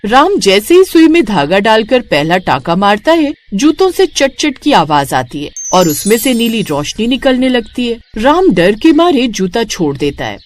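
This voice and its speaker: A woman narrating a children's moral story in a dramatic, expressive scripted style. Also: on the high side, moderate pace, few pauses, faint music behind.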